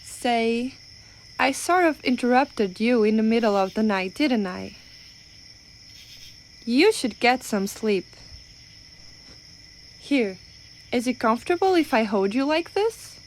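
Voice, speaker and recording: A woman playing a clumsy, well-meaning giantess in an ASMR roleplay, rambling and hesitant but gently reassuring. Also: on the high side, animated, takes it slowly, long pauses, slight background noise. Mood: soothing.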